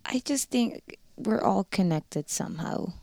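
A woman's voice: mid-range voice, lively intonation, unhurried, warm tone, dry recording. A woman from the Netherlands with a Dutch accent, Dutch as her first language, speaking frankly as an interview guest in casual chat.

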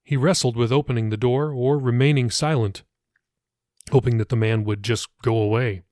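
A man's voice: mid-range voice, fairly even intonation, moderate pace, a little mellow, dry recording, studio quality. A man reading his own fiction audiobook as a scripted narration.

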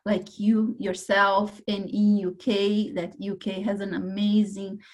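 A woman from Brazil with a Brazilian Portuguese accent, a psychologist answering interview questions in a measured, thoughtful way, sometimes searching for words. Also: medium pitch, little pitch movement, low-quality recording. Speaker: psychologist guest.

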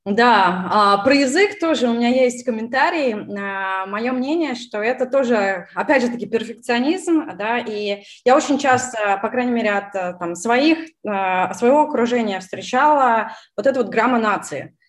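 A woman whose first language is Russian talks in a loose, casual conversation, her voice sitting a little on the high side. She rambles with fillers and quick restarts. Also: animated. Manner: chatty, frequent fillers and restarts.

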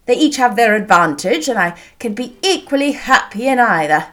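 A woman voicing an amiable, courteous young gentleman in a period novel, earnest and emphatic. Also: on the high side, lively intonation, normal speed. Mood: agreeable.

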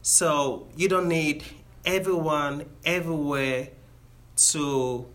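Nigerian man, bright, explaining plainly. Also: on the high side, takes it slowly. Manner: friendly, explains step by step with self-corrections. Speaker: engineer giving a career talk. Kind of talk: informal explanatory talk to students.

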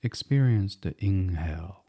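A man guiding a bedtime meditation as a podcast host, speaking softly and soothingly in an unhurried, hushed, breathy way. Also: sits low, lively intonation. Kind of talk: guided meditation, gentle bedtime reading.

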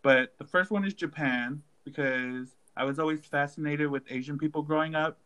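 A fairly high-voiced man talking fast and loose with friends, jokey and animated, with "like" dropping in everywhere. He bounces from point to point with a teasing grin in his voice. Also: clean tone. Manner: animated, joking, uses "like" often. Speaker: group chat member. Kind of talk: casual group chat.

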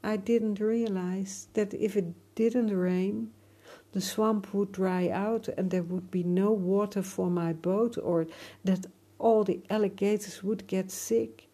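A young boy stranded in a boat, his voice lifted high and pushed loud as he pleads and demands, as a storyteller puts him on. The tone stays soft and airy underneath. It's a storybook reading. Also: slow, slightly rough. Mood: pleading.

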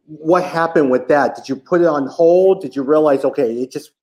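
A man's voice: on the high side, moderate pace, darkish tone. A man chatting casually as an interviewer, asking his guest questions. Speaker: interviewer, host.

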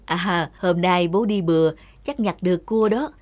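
A woman's voice: lowish voice, measured pace, darkish tone, dry recording, natural voice. A woman playing a caring but firm mother who instructs and admonishes her children in a cartoon folk tale. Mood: brisk, instructing. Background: background music.

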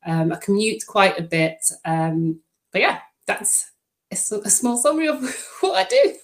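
A middle-aged woman from the Netherlands, Dutch by first language, answering interview questions in a friendly, halting way with many fillers. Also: medium pitch, very animated. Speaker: podcast guest.

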